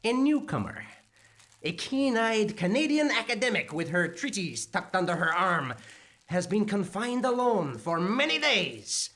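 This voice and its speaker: A woman's voice: mid-range voice, very animated, measured pace, a bit bright. A woman voicing a composed, theatrical storyteller in a live-staged radio drama.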